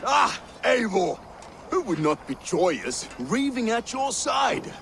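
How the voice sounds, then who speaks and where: An actor playing a loyal, earnest Norse warrior with a creaky voice in a video game. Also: male voice, extremely high pitch, big pitch swings, slow pace, a bit bright, a little hiss or hum, exaggerated voice. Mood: joyous greeting. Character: joyous, loyal male Norse warrior of gentle faith.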